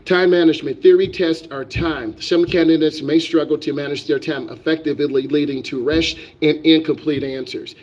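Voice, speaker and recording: A man's voice: a little high, fairly even intonation, moderate pace, hardly any pauses, a little mellow. A man who is a driving instructor, lecturing on a live stream with emphatic, repetitive, preachy delivery. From United States.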